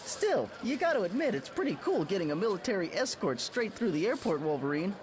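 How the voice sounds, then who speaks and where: A performer playing a sarcastic, excitable teenage boy superhero in a motion comic, slangy and animated. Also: mid-range voice, brisk, noisy background, lightly acted. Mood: excited.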